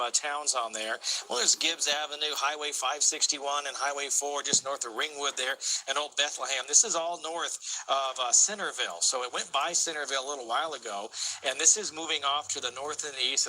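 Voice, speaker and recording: An American man delivers live storm coverage as a TV meteorologist. His voice sits somewhat high and has a very sharp, forceful edge. He explains things as he goes, thinking aloud, over faint background noise. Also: poor audio. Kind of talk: live unscripted severe weather commentary.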